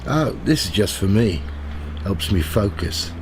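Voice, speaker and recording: A man's voice: lowish voice, expressive, measured pace, a little hiss or hum. A man acting a cynical, smug tabloid reporter in a radio play, with a sardonic, goading manner. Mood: mocking. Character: cynical, smug male tabloid reporter narrating his own investigation. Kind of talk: radio play acting with first-person narration.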